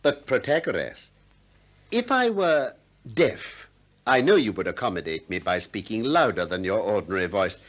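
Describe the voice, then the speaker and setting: An actor with a British accent playing a shrewd, politely persistent ancient Greek philosopher in a 1960s radio play, with measured, probing delivery. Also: male voice, lowish voice, big pitch swings. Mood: politely ironic. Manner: probing, courteous, measured questioning.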